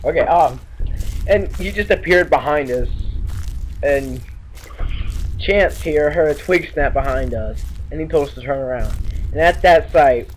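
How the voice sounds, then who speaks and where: A man's voice: a little high, a little slow, pauses often. A young man casually improvising a crude, jokey story. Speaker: storyteller in a comedy skit. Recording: clearly noisy amateur recording.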